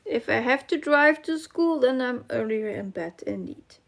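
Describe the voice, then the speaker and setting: A woman's voice: high voice, lively intonation, unhurried, creaky. A middle-aged woman with a Dutch accent hosting a casual live chat, reading comments aloud and searching for her English words. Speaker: cross-stitch live stream host.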